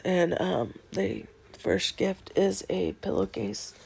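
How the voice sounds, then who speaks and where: A woman in her thirties with a hoarse, congested voice from a head cold, chatting casually to her phone while lying in bed. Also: lively intonation, slow pace, darkish tone. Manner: congested, low-key, rambling with many fillers. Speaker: book unboxing vlogger. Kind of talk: casual chat to camera. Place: bedroom, lying on a bed indoors. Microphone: phone held close at arm's length.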